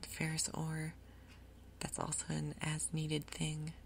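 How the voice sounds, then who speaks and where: A woman doing soft-spoken ASMR game commentary in a breathy half-whisper, with a casual, rambling, unhurried manner. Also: low-pitched, completely flat delivery, a little hiss or hum. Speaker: gaming video host.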